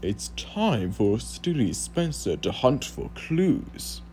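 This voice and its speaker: A man voicing a boastful, scornful male steam engine in a cartoon audition, with a pompous, theatrical, sneering delivery and a raspy, gravelly voice. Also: mid-range voice, sing-song, takes it slowly, warm tone, faint music behind. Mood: suspicious, smug.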